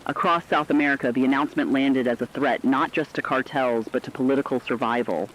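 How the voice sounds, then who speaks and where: A man's voice: mid-range voice, brisk, a bit bright, a little hiss or hum, lightly acted, rough recording. A man playing a sober radio correspondent, giving a scripted emergency report on a looming war with serious, emphatic phrasing. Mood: sober, ominous.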